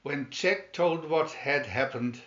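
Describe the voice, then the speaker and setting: Slow-reading male storyteller in a roomy space. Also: medium pitch, fairly bright tone, muffled recording. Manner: deliberate read-aloud delivery. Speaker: storybook narrator. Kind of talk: scripted fairy tale narration.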